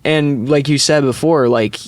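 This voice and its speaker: A man's voice: on the high side, unhurried, no echo. A man co-hosting a podcast, chatting casually in loose, slangy phrasing. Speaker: podcast co-host. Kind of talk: casual chat.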